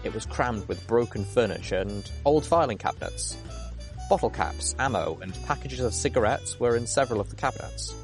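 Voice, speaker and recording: A man's voice: medium pitch, expressive, fairly quick, soft background music. A man reading a first-person adventure story aloud as dramatic narration. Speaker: audiobook reader.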